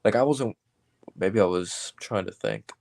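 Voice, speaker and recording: A man of about twenty from Georgia talks in a low-pitched voice with a dry, close sound. He chats casually about sports, correcting himself and leaning on "like" as he thinks aloud. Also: measured pace, creaky, darkish tone, no echo. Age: around 20.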